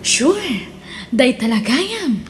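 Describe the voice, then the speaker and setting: A woman's voice: sits high, very animated, drawn-out words, loud music behind the voice, heavily acted. A woman playing a young, wilful daughter and lover in a radio drama, with an Ilocano accent and a noisy recording. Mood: tender.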